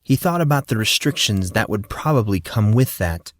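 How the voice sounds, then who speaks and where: A male audiobook narrator with a fairly low voice, telling the story at a steady, measured pace. The sound is dry and close, with no room around it. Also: no echo.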